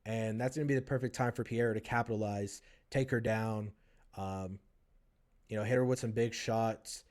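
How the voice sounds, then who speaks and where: A man's voice: lowish voice, steady tone, normal speed, a little mellow. A man co-hosting a podcast, giving a casual fight breakdown and chatting with a guest. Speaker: podcast co-host. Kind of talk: casual MMA breakdown and interviewing.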